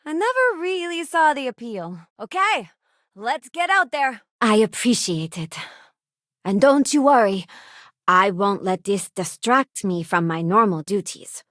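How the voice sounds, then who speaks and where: A woman's voice: fairly high pitch, big pitch swings, a little slow, a bit bright, no room sound. A young working-class woman aboard a starship in a science fiction game. She is principled and self-assured, with an earnest, plain-spoken delivery. The sound is dry, with no room around the voice. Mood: friendly, upbeat. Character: principled working-class woman spacefarer, confident, idealistic crew companion. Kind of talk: video game companion voice lines.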